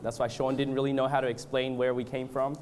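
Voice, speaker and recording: A man from Australia and Canada with a cold, speaking as a preacher in an informal, halting, hesitant way. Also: medium pitch, little pitch movement, a little mellow, a little hiss or hum. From born in Australia, moved to Canada. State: has a cold. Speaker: guest preacher at a church revival gathering. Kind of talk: informal sermon with congregational prayer.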